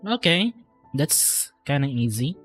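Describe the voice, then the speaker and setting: Low-voiced Filipino woman streamer, very animated. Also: takes it slowly. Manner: casual, blunt, reactive, mixes in another language. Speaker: livestreamer. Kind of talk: casual chat while playing a game. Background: faint game music.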